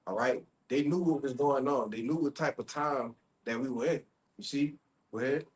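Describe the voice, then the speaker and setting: A man's voice: mid-range voice, normal speed. A man preaching informally on the street, expounding scripture and often checking in with tags like "all right?" and "you see?".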